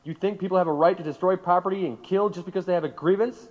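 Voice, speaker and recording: Actor as a high-pitched male interrogator, reverberant room. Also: fast, darkish tone, lo-fi sound, lightly acted. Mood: accusing. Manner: clipped, prosecutorial. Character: stern, accusing government interrogator. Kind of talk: audio drama acting. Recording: long hall reverb.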